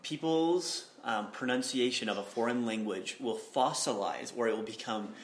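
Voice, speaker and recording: A man's voice: mid-range voice, moderate pace. A man hosting a podcast interview, telling anecdotes in a loose conversational way with frequent "uh" and "um". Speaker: podcast host. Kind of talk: casual interview talk. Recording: echoey room with faint noise.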